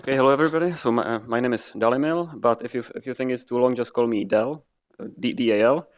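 A man's voice: mid-range voice, quick pace. A man introducing himself to a group, often repeating words as he talks. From Czech Republic.

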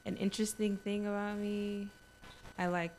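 A woman introducing herself as a new graduate student, relaxed and friendly. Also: medium pitch, moderate pace, slight background noise, rough recording. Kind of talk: self-introduction.

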